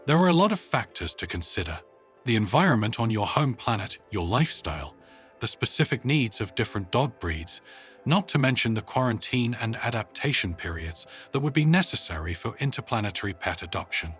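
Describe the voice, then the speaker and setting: A man voicing a kind, careful human woman who commands a space team, in a measured storybook reading. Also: on the low side, big pitch swings, fairly bright tone, faint music behind.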